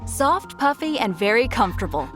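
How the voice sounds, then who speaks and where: A woman's voice: fairly high pitch, sing-song, normal speed, music in the background. A woman playing a chirpy, persuasive young female souvenir shopkeeper in a video game, with cheerful sales patter. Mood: cheerful, selling.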